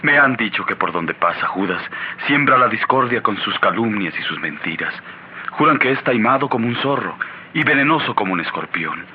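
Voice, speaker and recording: A man's voice: lowish voice, lively intonation, moderate pace, close to an everyday voice. A man playing an indignant disciple, denouncing emphatically in an old radio drama style. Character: indignant male disciple spreading damning rumours. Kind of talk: mid-century radio drama acting. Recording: dull, noisy old radio recording.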